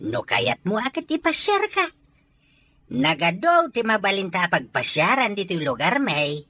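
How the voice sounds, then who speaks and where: A woman playing a teasing, hospitable older country man in an audio drama, with a drawn-out, coaxing delivery. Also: mid-range voice, very animated, sharp tone, slight background noise. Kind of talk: Ilocano audio drama acting.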